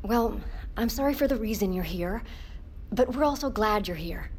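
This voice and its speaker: An actress playing a playful, teasing marine biologist, chatting casually in a naturalistic audio drama. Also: female voice, medium pitch, animated, normal speed, a little mellow, a little hiss or hum, close to an everyday voice. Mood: sympathetic.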